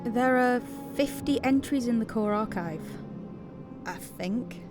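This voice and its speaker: A woman's voice: on the high side, slow pace. An actress playing a questioning, tentative woman in a video game mystery, in an understated style. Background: atmospheric game music.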